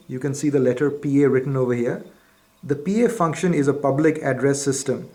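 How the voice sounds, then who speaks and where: A man's voice: medium pitch, warm tone. A man presenting a product in an instructional demonstration, explaining methodically step by step.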